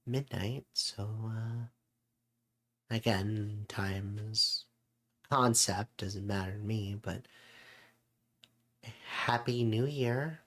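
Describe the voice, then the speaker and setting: A host of unclear sex chatting casually and in character, with a halting, unhurried delivery. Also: mid-range voice, a bit bright. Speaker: show host.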